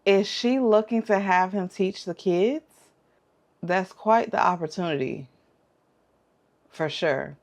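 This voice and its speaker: A woman giving casual, reflective commentary as a reaction host, halting and thoughtful. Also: sits low, gravelly.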